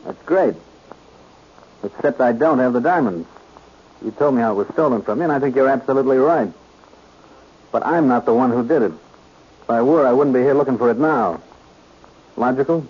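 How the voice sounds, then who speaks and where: An actor playing a cool, sly private detective in 1940s radio style, measured and insinuating. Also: male voice, mid-range voice, husky, close to an everyday voice. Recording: muffled, noisy old radio recording.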